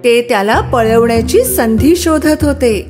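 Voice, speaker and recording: A woman narrating a scripted children's story in an expressive storytelling style. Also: on the high side, measured pace. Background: music.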